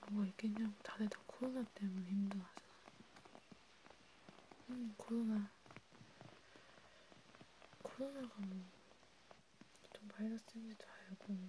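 A woman whispering in a breathy, hushed, intimate way, chatting casually as in an ASMR roleplay. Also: medium pitch, measured pace, pauses often. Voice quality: breathy, mostly unvoiced whisper. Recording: steady background noise.

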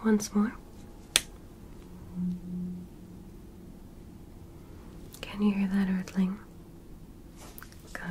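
A woman playing a polite, curious alien doctor in a whispered ASMR medical roleplay, speaking softly and breathily with gentle, formal attentiveness. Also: mid-range voice, little pitch movement, measured pace, a little hiss or hum, lightly acted. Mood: gentle, attentive. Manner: gentle, clinical, formally praising.

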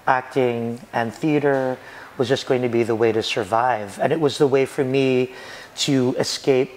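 Guy in his 40s, spoken word poet, gets really dramatic and lively, little bit of background hum. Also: mid-range voice, a little slow, rough recording. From United States. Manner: animated, dramatic, gesturing expressively. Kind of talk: documentary interview. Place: restaurant booth and outdoor graffiti alley.

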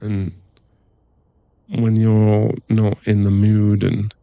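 A man playing an affectionate, teasing werewolf boyfriend in an intimate ASMR roleplay, speaking in a soft, coaxing, halting way with a husky, gravelly voice. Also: on the low side, completely flat delivery, no echo, narrow, tinny audio, full character voice. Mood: apologetic. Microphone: close microphone.

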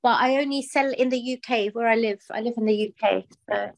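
A woman's voice: on the high side, brisk, gravelly. A woman in her thirties from Pakistan who runs a small business, talking casually on a video call from her living room, with lots of "you know" and "um". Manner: chatty, with frequent "you know" and "um". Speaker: small business owner, client.

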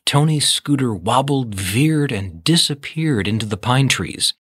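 A man narrates a children's read-along story. His voice is husky and recorded close and dry, with expressive, measured storytelling. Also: mid-range voice, no echo.

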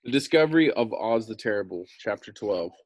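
A man's voice: lowish voice, lively intonation, brisk, dry recording, poor audio. An American man in his thirties reading a book aloud in an informal, slangy way with casual asides. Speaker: read-aloud storyteller.

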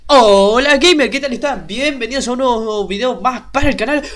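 A man's voice: very high voice, brisk, fairly bright tone, a little hiss or hum. A young man with an Argentine accent and a congested nose, chatting casually and in a rambling way as he hosts a reaction video. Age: young adult. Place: small bedroom at home.

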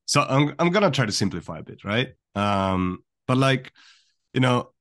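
A man's voice: mid-range voice, expressive, moderate pace, fairly bright tone, dry recording, clean studio sound. A young man who was born in Japan and raised in Sweden, talking as a podcast guest in a casual, thoughtful way full of "like" and "you know". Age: young adult. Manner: thoughtful, filler-heavy, lots of "like" and "you know". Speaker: guest, finance writer. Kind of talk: casual conversational interview.

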